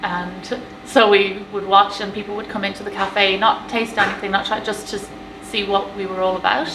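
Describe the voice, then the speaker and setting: A woman's voice: mid-range voice, flat delivery, normal speed. A woman in her thirties with a Galway Irish accent, a café owner chatting casually in an interview with lots of fillers. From Ireland. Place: inside her small café. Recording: echoey café room with background noise.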